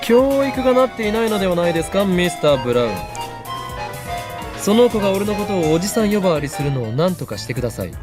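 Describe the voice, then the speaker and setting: Grandiose young mad scientist, visual novel game, very lively intonation, rapid scolding delivery. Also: male voice, high voice, over music. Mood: haughty. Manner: theatrical, scolding. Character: bombastic, self-styled mad scientist young man, haughty. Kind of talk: Japanese visual novel voice acting.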